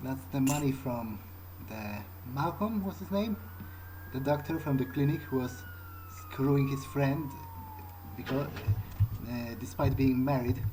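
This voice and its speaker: A man role-playing a wary, pragmatic vampire in a video game, thinking aloud in a halting, understated way. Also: mid-range voice, noisy background, close to an everyday voice. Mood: musing, dry. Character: male vampire musing to himself, wary, pragmatic. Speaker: gamer playing in character. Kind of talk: improvised in-character game monologue.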